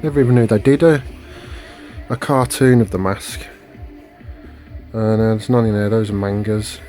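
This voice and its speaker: A man's voice: fairly even intonation, moderate pace, dark tone, faint music behind. A man, rambling through a casual haul video with many fillers and restarts, recorded on a phone at home. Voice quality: low voice. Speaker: video collector vlogger. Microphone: phone camera.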